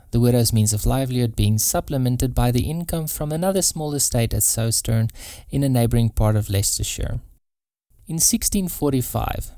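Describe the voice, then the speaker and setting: Mellow male audiobook narrator, dry sound. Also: mid-range voice, dry recording. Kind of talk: scripted non-fiction audiobook narration.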